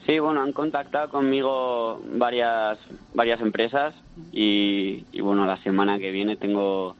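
Young male interviewee, Spain Spanish, low flat delivery, easygoing, over a dull phone link. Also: measured pace, smooth. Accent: Spanish from Spain, no marked regional accent. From Madrid, Spain. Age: 20s. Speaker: interview guest. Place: at home. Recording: band-limited phone call on radio.

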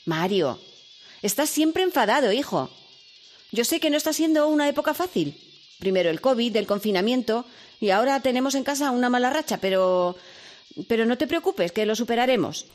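Actriz haciendo de madre cariñosa y tranquilizadora. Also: female voice, on the high side, animated, fairly quick, faint music behind, close to an everyday voice. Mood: soothing. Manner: gentle, soothing. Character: caring worried mother, middle-aged woman. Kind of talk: radio drama acting.

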